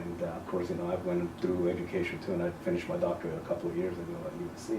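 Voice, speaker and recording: Soft-spoken Salvadoran-born man, low and measured. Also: completely flat delivery. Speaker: high school principal. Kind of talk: casual interview. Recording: echoey room, mic at a distance, faint noise.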